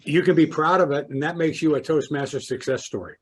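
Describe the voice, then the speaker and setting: A man's voice: fairly high pitch, quick pace, slightly rough. A man interviewing a club member, encouraging and affirming her answers. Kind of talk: conversational interview.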